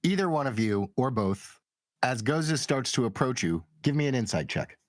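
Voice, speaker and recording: A man with a medium-pitched voice narrates as game master. The recording is dry, and he speaks directly to his players. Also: very animated, moderate pace, no room sound.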